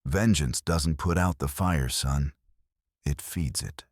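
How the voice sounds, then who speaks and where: A solemn, fatherly frontier reverend played by a male narrator in a western tale. He admonishes gravely in an extremely low voice, with slow, weighty phrasing. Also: no echo, clean studio sound, full character voice. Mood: solemn warning. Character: solemn fatherly frontier preacher giving warning. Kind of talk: western audio story character.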